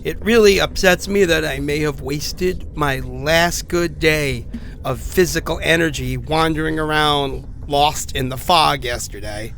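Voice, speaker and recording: A man's voice: on the high side, a little slow, gravelly, a bit bright, a little hiss or hum. A man playing a sick, stranded man who rambles anxiously and wryly through recorded messages in a horror audio drama. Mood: frustrated, puzzled. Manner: rambling, conversational, hesitant, wry. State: sick with a cough.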